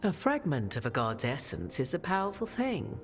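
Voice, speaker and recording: An actress playing an ancient, cryptic witch in a fantasy game, speaking in formal, archaic, deliberate and theatrical phrases. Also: deep voice, huge pitch swings. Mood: wry, imperious. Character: ancient, imperious, cryptic witch carrying a goddess fragment. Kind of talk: dramatic fantasy game dialogue. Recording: studio voice over game music. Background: background music.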